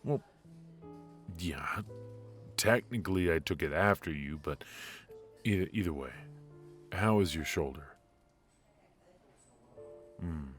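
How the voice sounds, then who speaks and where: A man playing a cocky but affectionate secret agent in an intimate noir audio roleplay, with halting, teasing delivery. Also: deep voice, expressive, a bit bright, soft background music, heavily acted. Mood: weary, teasing. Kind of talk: intimate ASMR roleplay voice acting.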